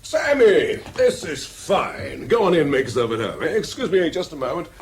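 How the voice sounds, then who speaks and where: Breezy, self-important boss, animated. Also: male voice, a little high, normal speed, a little hiss or hum. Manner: breezy, hearty, commanding. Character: smooth, self-important, ambitious male government research boss. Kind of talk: radio drama dialogue.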